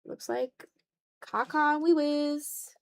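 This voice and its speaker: Woman, slightly high pitch, casual drawing livestream, fragmented thinking aloud, self-deprecating. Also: steady tone, slow pace, vocal fry. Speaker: art livestreamer.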